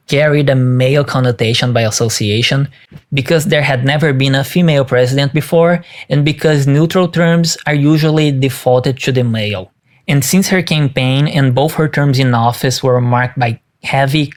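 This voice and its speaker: Man reading a message. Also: medium pitch. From Brazil. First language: Portuguese. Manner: measured, careful and explanatory. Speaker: caller. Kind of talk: reading a prepared listener message.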